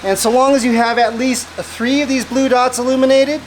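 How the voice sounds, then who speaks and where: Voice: high voice, steady tone, normal speed. Conversational American how-to host, noisy recording. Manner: explanatory, conversational. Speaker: host of an automotive how-to channel. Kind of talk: casual how-to tutorial narration.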